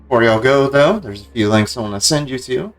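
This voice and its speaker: A man's voice: medium pitch, expressive, fairly quick, faint music behind. A man chatting casually as a game streamer on a livestream.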